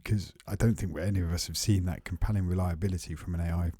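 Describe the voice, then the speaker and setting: A man's voice: deep voice, steady tone, fast talker, warm tone, breathy edge, no room sound, studio quality. A man co-hosting a casual tech podcast, thoughtful and a little hesitant, with frequent ums. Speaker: podcast co-host.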